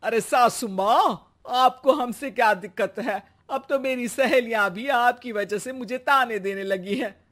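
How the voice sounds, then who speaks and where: A middle-aged cartoon mother-in-law, acted by a woman, lazy and irritable. She grumbles sarcastically at an unhurried pace, always easily riled. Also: on the high side, a bit bright. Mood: aggrieved.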